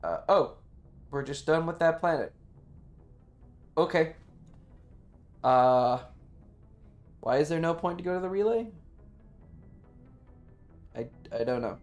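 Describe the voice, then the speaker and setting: Animated, sweary game streamer. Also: medium pitch, slow, pauses often. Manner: sweary, playfully bratty. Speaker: live streamer. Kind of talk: casual game stream commentary. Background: faint game music.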